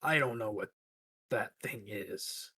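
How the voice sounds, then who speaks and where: A man's voice: fairly low pitch, takes it slowly, sharp tone, slightly rough, no room sound, studio quality. A man playing in a tabletop roleplaying game, improvising in character in an earnest, terse way.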